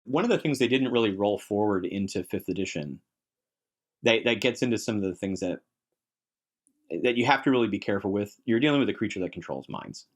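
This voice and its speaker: Male podcast guest, lower-pitched voice, enthusiastic and emphatic, casual conversational delivery. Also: lively intonation, a little fast. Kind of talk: casual discussion of tabletop game monsters.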